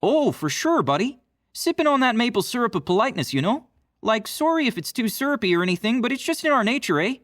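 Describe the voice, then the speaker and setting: Over-polite, apologetic Canadian played by an actor, very animated. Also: mid-range voice, fast talker, no room sound, studio quality. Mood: eager, apologetic. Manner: hesitant, constantly apologizing, tags sentences with eh. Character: over-polite, apologetic Canadian teen stereotype under a spell. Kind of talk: scripted character dialogue.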